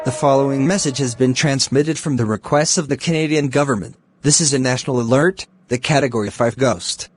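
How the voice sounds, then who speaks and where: A man's voice: medium pitch, a little fast, hardly any pauses, slight background noise. A man delivering a scripted mock emergency broadcast as a formal, stilted alert announcer.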